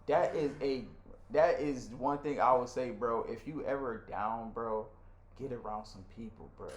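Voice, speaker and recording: Young man, American accent, casual co-host chat, slangy and animated, echoey room sound. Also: medium pitch, unhurried. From Nigeria, left at six for the United States. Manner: animated, slangy, often addressing his friend as bro. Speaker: podcast co-host. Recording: echoey room with faint noise.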